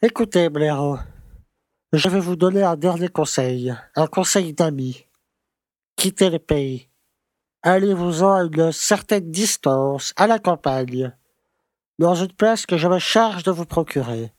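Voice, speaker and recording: An actor playing a pompous, grumbling conservative small-town mayor who lectures and scolds in a French audio drama. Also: male voice, a little high, measured pace, no echo, clean studio sound, close to an everyday voice. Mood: scolding.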